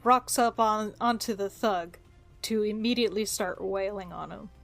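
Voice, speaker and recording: A woman's voice: mid-range voice, normal speed, vocal fry, soft background music. A woman taking part as a player in a tabletop roleplaying game, chatting casually about her moves and rolls.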